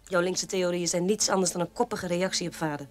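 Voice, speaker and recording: An actress playing a mocking, sharp-tongued sister in a radio play, needling and scornful. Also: female voice, fairly low pitch, fairly even intonation, brisk, soft background music, close to an everyday voice.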